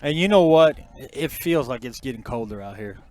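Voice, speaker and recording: A man calling a high school football game outdoors, folksy and joking with the crowd and his partner. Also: lively intonation, moderate pace, a little mellow. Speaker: play-by-play announcer.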